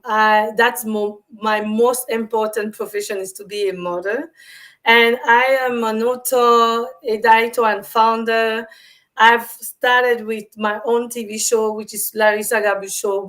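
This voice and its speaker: A woman from Cameroon speaks as a guest over a video call. Her voice sits slightly high, and she talks in a warm, earnest way with a few hesitant fillers. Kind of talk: interview answers.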